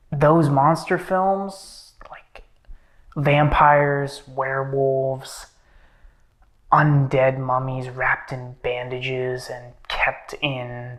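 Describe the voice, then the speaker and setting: A man playing a menacing, philosophizing horror villain in a monologue, halting and brooding, drifting between speech and breathy whispers. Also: medium pitch, fairly bright tone. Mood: grim, disdainful.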